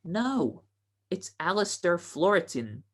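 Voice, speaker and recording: Woman playing excitable, melodramatic young society girl. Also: fairly low pitch, slow, exaggerated voice. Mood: dramatic, scheming. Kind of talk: 1940s radio comedy acting.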